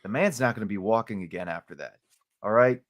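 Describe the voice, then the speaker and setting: A man's voice: lowish voice, quick pace, lo-fi sound. Passionate Colombian man, Spanish native. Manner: passionate, gets loud on key points, stumbles when heated. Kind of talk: heated podcast discussion.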